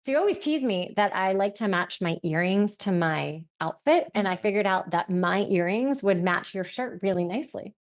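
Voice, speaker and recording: A woman co-hosting a podcast with a medium-pitched voice. She chats playfully with her co-host and guides the conversation along. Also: expressive, normal speed, hardly any pauses. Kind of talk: casual podcast banter.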